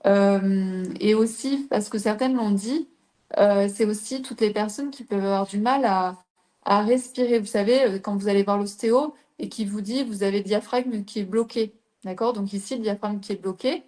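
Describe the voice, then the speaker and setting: A woman's voice: mid-range voice, normal speed, mellow. A middle-aged woman from southern France, a coach giving a live talk and putting rhetorical questions to her listeners. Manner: explains with rhetorical questions to the audience.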